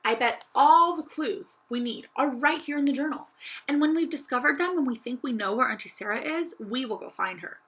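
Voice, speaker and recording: Woman voicing an eager, bright young girl doll. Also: sits high, expressive, a little fast, voice pushed up, poor audio. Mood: eager, determined. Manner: earnest, confiding. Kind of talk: children's audiobook character voice.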